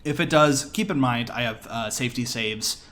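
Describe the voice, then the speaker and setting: A man's voice: medium pitch, normal speed, a bit bright. A man giving casual live commentary on a video game speedrun, explaining things as he plays. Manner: explanatory, talking through the run as it happens. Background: video game music.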